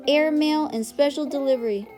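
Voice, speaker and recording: A woman's voice: high voice, normal speed, darkish tone, faint music behind, pitched above the performer's own voice, close to an everyday voice. A woman voicing a cheeky teenage boy in a storybook, casual and slangy, calling out.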